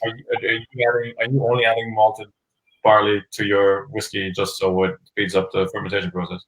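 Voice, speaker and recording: Low-voiced man in an echoey room. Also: monotone, fairly quick, a bit bright. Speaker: guest, whiskey brand ambassador. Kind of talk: casual live-stream chat.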